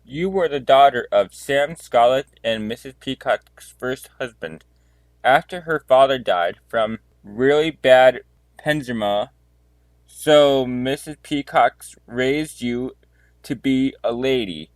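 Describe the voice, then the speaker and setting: A man's voice: mid-range voice, dry recording, lightly acted. A man playing a methodical police detective in an amateur audio drama, addressing suspects in a formal, declamatory way. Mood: stern, expository.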